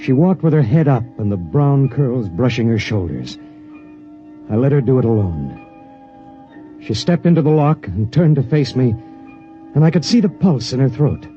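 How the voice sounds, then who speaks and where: An actor playing a lonely spaceship pilot who narrates in a grave, measured way in a 1950s radio drama. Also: male voice, medium pitch, big pitch swings, faint music behind, natural voice. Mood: grim. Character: lonely male spaceship pilot, grim and weary. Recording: dull lo-fi vintage radio.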